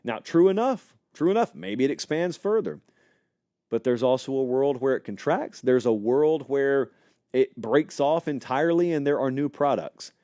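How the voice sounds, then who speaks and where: Animated man hosting a sports show. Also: medium pitch, normal speed, no room sound, clean studio sound. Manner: animated, conversational, speculative, addresses live chat. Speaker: college football show host. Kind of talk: live-streamed sports talk show.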